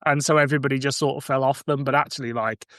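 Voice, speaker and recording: A man's voice: medium pitch, fairly even intonation, fast talker, a bit bright, no echo, studio quality. A man hosting a podcast, moving between casual chat and read-out summaries. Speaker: podcast host.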